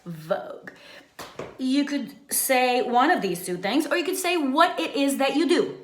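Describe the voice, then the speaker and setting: A woman's voice: sits high, lively intonation, measured pace, slight background noise. A woman giving an informal bilingual language lesson as a teacher, often checking in with short tag questions. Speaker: English teacher. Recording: echoey, roomy sound.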